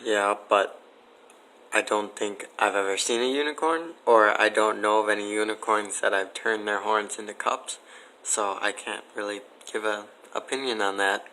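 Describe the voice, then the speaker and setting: A man giving casual, matter-of-fact interview answers in a deadpan way. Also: on the low side, moderate pace, slight background noise, poor audio.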